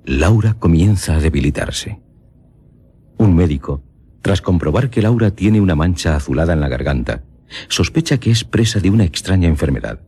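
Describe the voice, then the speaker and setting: A man's voice: a bass voice, warm tone, slightly rough. A man reading a scripted story recap as a radio narrator.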